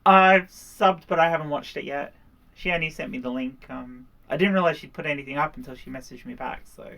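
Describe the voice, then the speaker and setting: A livestream host chats in a casual, unscripted way. The voice sits at a middle pitch, and the talk is chatty and prone to laughter. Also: a little fast, a bit bright.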